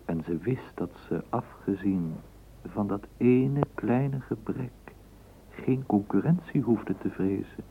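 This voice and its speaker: An actor narrating a literary radio play as a measured, lyrical male storyteller. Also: lowish voice. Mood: tender, measured. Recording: muffled, noisy old radio play recording.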